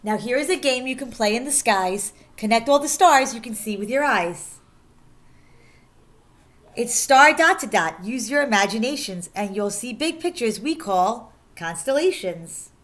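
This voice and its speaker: A woman with a somewhat high voice reads a children's picture book aloud as a teacher would for her class. Her delivery is animated and expressive, following the bounce of the rhymes and leaning on the important words. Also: unhurried. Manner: animated, sing-song delivery of rhyming verse, stressing key words. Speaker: teacher reading aloud to pupils.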